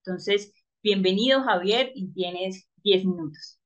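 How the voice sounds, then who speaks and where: A woman in her thirties speaks through a webcam mic, moderating an online panel. She introduces the guest formally, as if reading from notes, at a middling pitch. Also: a little slow, highs cut off. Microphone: webcam on a video call.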